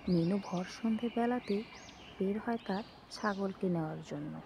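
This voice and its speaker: A woman's voice: mid-range voice, normal speed. A woman reading scripted storybook narration of a spooky folk tale. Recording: clear noise, outdoor birdsong behind. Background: birdsong and outdoor ambience.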